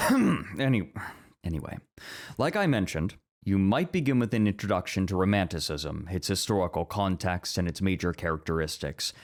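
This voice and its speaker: Man playing a deep-voiced, strict professor, formal and pedantic. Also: lively intonation. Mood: flustered, defensive. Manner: formal, measured, pedantic lecturing. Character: strict, orderly male academic teacher, easily flustered by teasing. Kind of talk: scripted ASMR roleplay acting.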